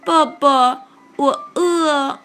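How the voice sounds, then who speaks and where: Woman voicing a high, childlike baby duckling. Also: extremely slow, full character voice. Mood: hungry, pleading. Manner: childlike, pleading calls. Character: excitable little newborn duckling, affectionate and needy. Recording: soft music behind the voice.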